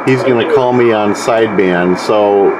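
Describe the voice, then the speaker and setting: A man's voice: fairly low pitch, unhurried. A man working as an amateur radio operator, narrating his contacts indoors into a camcorder and repeating words and call signs. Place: indoors, room with radio computer station. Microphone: camcorder held or set near the desk. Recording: steady background noise, radio hiss. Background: radio noise.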